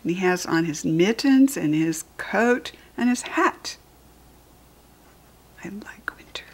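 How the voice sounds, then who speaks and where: An American woman reading a picture book aloud to young children, gently explaining things as she goes in a soft, breathy voice. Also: fairly low pitch, expressive, slow pace. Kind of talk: read-aloud storytelling with asides to young children.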